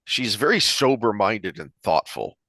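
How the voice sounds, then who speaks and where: A man's voice: on the low side, sing-song, measured pace, pushed and bright, no room sound. A man co-hosting a discussion podcast, talking conversationally in a thoughtful, explanatory way that wanders into side points. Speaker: podcast host discussing books.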